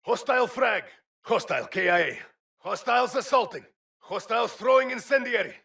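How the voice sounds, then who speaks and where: Game combat callouts: urgent soldier, high-pitched, strained gravelly bark, radio effect. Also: male voice, expressive, normal speed, bright, forceful tone, exaggerated voice. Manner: urgent, clipped. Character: tense soldier calling out combat warnings.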